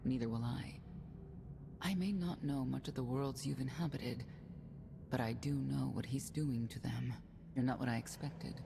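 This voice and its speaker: Casting: candid, self-assured game knight, creaky low voice, measured, over noisy ambience. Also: female voice. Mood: resolute, guarded. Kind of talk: video game cutscene acting.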